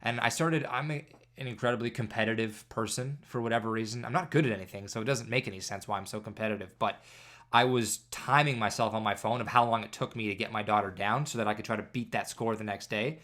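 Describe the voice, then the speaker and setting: A man's voice: lowish voice, steady tone, fast, a bit bright. A man in his thirties from Canada, stuffed up with congestion, hosting a casual solo podcast with self-deprecating jokes. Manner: self-deprecating, jokey storytelling. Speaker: podcast host.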